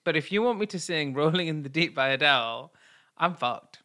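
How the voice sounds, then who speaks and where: A man's voice: a little high, lively intonation, fairly quick, pushed and bright. A man co-hosting a podcast in casual, teasing banter with his husband, laughing often. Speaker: podcast co-host.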